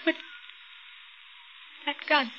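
An actress playing a frightened, trapped woman in a 1940s radio thriller, breathless and halting as she thinks aloud. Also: heavy background noise. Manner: breathless, halting, repeating herself. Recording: dull old radio recording, loud music.

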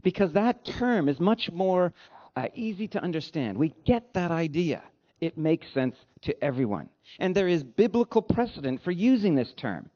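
A male pastor delivers a sermon in a fairly high voice that swings widely in pitch. His phrasing is deliberate and emphatic, with a dryly humorous streak. The recording sounds slightly dull and lo-fi. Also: very animated, warm tone. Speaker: pastor preaching.

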